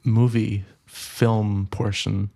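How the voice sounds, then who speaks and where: Young man, Californian American accent, lower-pitched voice, casual podcast co-host, conversational. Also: little pitch movement, very slow, creaky, mellow. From Los Angeles, United States. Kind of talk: casual chat.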